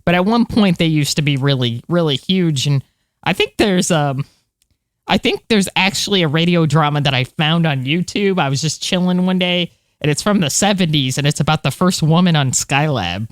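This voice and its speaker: A woman's voice: low-pitched, lively intonation, moderate pace, no room sound, clean studio sound. An American woman co-hosting a podcast, chatting casually in an informal, rambling, self-correcting way. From United States. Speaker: podcast co-host. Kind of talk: casual chat.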